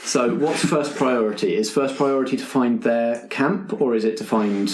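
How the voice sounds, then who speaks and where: A man's voice: mid-range voice, moderate pace, a little hiss or hum. A man running a tabletop roleplaying game as game master, describing scenes to the players in improvised narration. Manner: descriptive, addressing players as you. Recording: roomy, echoing group recording.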